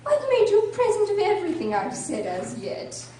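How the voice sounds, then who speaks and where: A woman's voice: extremely high pitch, huge pitch swings, fairly quick, crowd noise, full character voice. A woman playing a chummy, eccentric, moralizing Duchess in a radio drama, gushing and affected with chuckles. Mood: pompous, chuckling. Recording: echoey room, distant mic, clear background noise.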